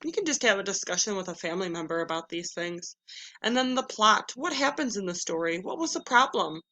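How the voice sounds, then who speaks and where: A woman's voice: medium pitch, lively intonation, fairly quick, pushed and bright. A woman teacher giving a read-aloud lesson for children, moving between story narration and instruction. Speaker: elementary school teacher.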